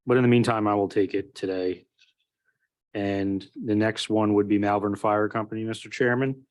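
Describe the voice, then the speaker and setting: A man's voice: fairly low pitch, fairly even intonation, moderate pace. A man giving a formal, matter-of-fact report of fire company call numbers at a township meeting. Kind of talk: reading a report at a public meeting.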